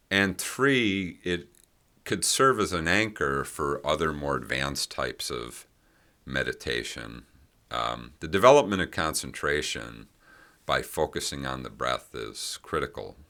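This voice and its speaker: Very deep-voiced man guiding meditation slowly. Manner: gentle, unhurried phrasing with long pauses. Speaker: meditation guide. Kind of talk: guided meditation, unscripted instruction.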